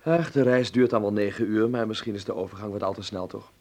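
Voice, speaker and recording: An actor playing an earnest, eager young Dutchman in a radio drama, expressive and a little hesitant. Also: on the low side, fast talker. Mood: uneasy.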